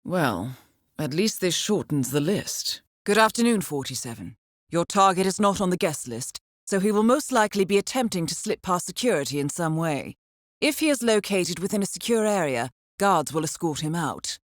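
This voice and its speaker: A woman playing a composed, dry-witted intelligence handler in a video game, speaking in a measured, formal, understated way as she briefs an assassin. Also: lowish voice, expressive, no room sound, natural voice. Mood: dryly amused. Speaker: mission handler. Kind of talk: scripted video game voice acting, mission briefing.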